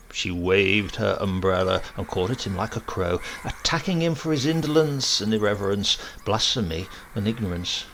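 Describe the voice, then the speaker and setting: A man narrates a literary tale in a very gravelly, creaky voice. His pitch moves in lively sweeps as he shapes each phrase deliberately. Faint noise sits behind the reading. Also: low-quality recording. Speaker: reader of a comic short story. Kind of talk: scripted narration, literary storytelling.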